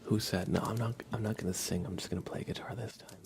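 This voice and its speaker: A man hosting an ASMR livestream, chatting to viewers in a hushed, gentle, often whispered manner. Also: on the low side, fairly even intonation, quick pace, a little hiss or hum. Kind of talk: ASMR, soft-spoken livestream chat.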